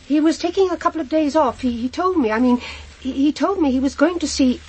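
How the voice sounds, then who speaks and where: An actress playing a shocked, hesitant, proper middle-aged housewife who has been widowed, in a naturalistic radio drama. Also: female voice, sits high, darkish tone, slight background noise, lightly acted. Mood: shocked, bewildered. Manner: halting, flustered, repeats herself. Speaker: actor in a crime drama.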